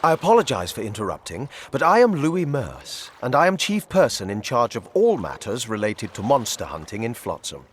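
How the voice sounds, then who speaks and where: Pompous official with swooping intonation. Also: male voice, mid-range voice, wildly swooping pitch, moderate pace, hardly any pauses, slightly rough. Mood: self-important. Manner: formal, wordy. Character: pompous, officious male small-town official. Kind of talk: video game acting.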